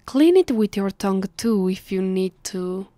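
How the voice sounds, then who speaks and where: A woman with an Italian accent playing a haughty, teasing ancient vampire mistress in a soft, half-whispered ASMR roleplay, condescending and commanding. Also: medium pitch, expressive, a little slow, no room sound, studio quality, close to an everyday voice. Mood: commanding, threatening. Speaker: voice actress. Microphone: close, dry microphone.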